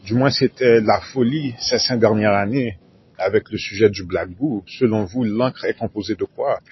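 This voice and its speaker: A man's voice: fairly low pitch, normal speed, dull audio, slight background noise. A man delivering a formal solo monologue, repeating whole sentences and spelling out words letter by letter. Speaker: conspiracy talk narrator.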